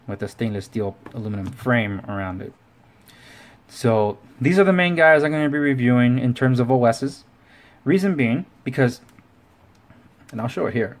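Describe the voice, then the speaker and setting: A man hosting a casual tech review, talking informally and rambling with self-correcting asides. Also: medium pitch. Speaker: tech review show host. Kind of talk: casual video review talk to camera.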